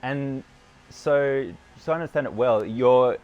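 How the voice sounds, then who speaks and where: A man's voice: mid-range voice, measured pace, clear, resonant tone, dull audio. A man, hosting a casual interview at his clinic and recapping his guest's answers, recorded outdoors with a lapel mic. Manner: friendly, summarizing questions. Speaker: clinic owner and host. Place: outdoor veranda, daytime.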